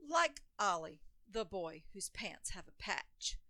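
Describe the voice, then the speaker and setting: Animated, bright-voiced woman reading a storybook. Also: mid-range voice, takes it slowly, no echo, studio quality. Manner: theatrical, emphatic phrasing. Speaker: reader of a children's picture book. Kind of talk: dramatic rhyming storybook read-aloud.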